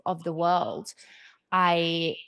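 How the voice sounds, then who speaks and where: A woman's voice: fairly low pitch, little pitch movement, extremely slow, sharp tone, smooth, dry recording. A young Australian woman, in her twenties or thirties, explaining things in a casual, conversational way as she thinks aloud. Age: 20s to 30s. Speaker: financial educator on cryptocurrency.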